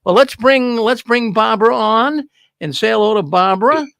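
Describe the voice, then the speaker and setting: A man's voice: extremely high pitch, lively intonation, normal speed, creaky, fairly bright tone, clear, resonant tone, no room sound. An older man with a Boston accent hosting a talk show in a chatty, folksy way, speaking into a studio mic. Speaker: host. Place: studio with neon signs. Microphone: broadcast mic on boom arm.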